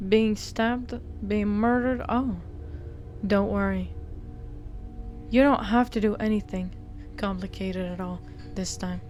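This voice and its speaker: A woman's voice: medium pitch, steady tone, slow, darkish tone, soft background music. A woman reading a visual novel aloud as a game streamer, stumbling over words and breaking off into blunt, playful commentary. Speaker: game streamer doing a walkthrough.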